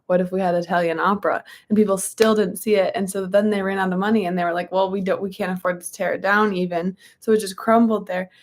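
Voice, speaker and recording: Hoarse young woman, casual and rambling, reading a book aloud between chatty asides. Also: medium pitch, steady tone, quick pace, few pauses. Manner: chatty, rambling, frequent ums and likes.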